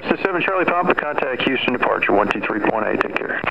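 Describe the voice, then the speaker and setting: A man working as an air traffic controller, giving procedural clearances over aviation radio. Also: medium pitch, animated, fast. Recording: muffled, very noisy aviation radio transmission.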